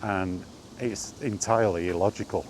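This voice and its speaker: A man with a fairly low voice speaks off the cuff, putting deliberate weight on his points. He repeats phrases and poses rhetorical questions as he argues his opinion. Noticeable ambient noise sits behind him. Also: fairly even intonation, poor audio. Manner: emphatic, deliberate delivery with rhetorical questions and repetition. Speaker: video host sharing opinions on gaming. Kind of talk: unscripted opinion monologue while walking.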